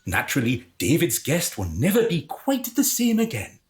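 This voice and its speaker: A man's voice: a little high, huge pitch swings, moderate pace. Man playing a smug, grandiose scheming club leader, swooping delivery. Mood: gleeful relish. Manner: grandiose, theatrical. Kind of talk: radio drama acting.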